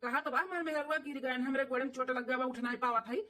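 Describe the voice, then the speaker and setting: A woman's voice: high voice, fairly even intonation, fast talker, bright, forceful tone, distant mic. A woman voicing an aggrieved, protective village son and husband in a cartoon family drama, speaking emphatically with repeated phrases in an Awadhi accent. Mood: indignant. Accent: Awadhi, eastern Uttar Pradesh. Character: aggrieved village son, adult man, protective of his wife.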